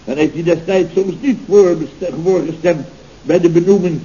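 Mellow, blustering actor as a pompous contractor. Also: male voice, sits high, normal speed, close to an everyday voice. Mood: indignant. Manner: blustering, grandiloquent, rambling. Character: pompous, indignant, self-satisfied male building contractor. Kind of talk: scripted radio comedy acting. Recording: dull, noisy old radio recording.

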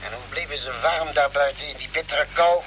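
A man playing an eager, inquisitive crewman in an old space radio play. His voice is sharp and fairly high, pressing with questions over a faint hum. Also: brisk, lightly acted. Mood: curious, insistent. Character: inquisitive, insistent male space expedition crew member. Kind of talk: mid-century radio drama acting. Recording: humming, noisy old radio drama recording.

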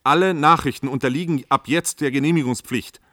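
A man's voice: mid-range voice, a little fast, sharp tone, no room sound, studio quality, close to an everyday voice. A man playing an officious, stubborn government official, formal and pedantic, in a German radio play. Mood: stern.

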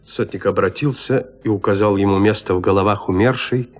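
A man narrating classic literature in an expressive, deliberate, theatrical manner. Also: lowish voice. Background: music.

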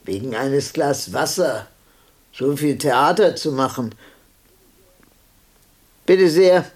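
An actor in a radio drama playing an impatient elderly man who cares for a bedridden partner, weary, brisk and chiding. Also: mid-range voice. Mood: irritated. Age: older.

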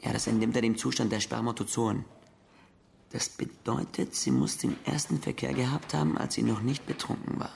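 A police colleague briefing his partner, eager and factual. The mid-pitched voice is extremely creaky and gravelly, with faint noise behind it, in radio crime drama style. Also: normal speed, a little mellow. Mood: factual, intent. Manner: matter-of-fact, explanatory. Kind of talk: naturalistic German radio crime drama acting.

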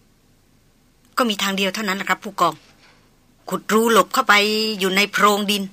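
A woman voicing a tough male jungle tracker speaking low and steady. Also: normal speed, close to an everyday voice. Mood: earnest.

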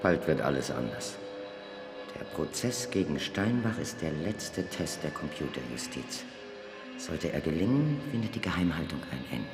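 An actress playing a cool, ambitious state prosecutor in a German radio drama, formal and guarded. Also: female voice, a bass voice, very animated, normal speed, music in the background, exaggerated voice. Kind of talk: German radio drama acting, dialogue and dictated reports.

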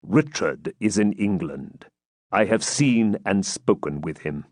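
A man's voice: medium pitch, big pitch swings, normal speed, darkish tone, no echo. Battle-weary knight for a medieval storybook, male reader: grim, emphatic, solemn wording, varied intonation, close dry recording. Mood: low, emphatic. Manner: emphatic, solemn archaic speech.

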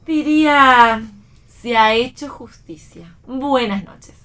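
A woman with an Argentine accent playing a stoic, plain-spoken, quietly hopeful woman in a read radio play. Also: a little high, takes it slowly. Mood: reserved. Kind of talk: read radio theatre dialogue.

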